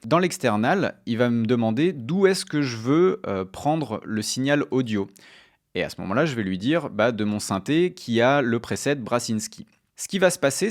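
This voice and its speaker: Man giving a casual tutorial. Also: mid-range voice. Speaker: music production tutorial host. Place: small home studio room, indoors. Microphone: studio microphone close in front.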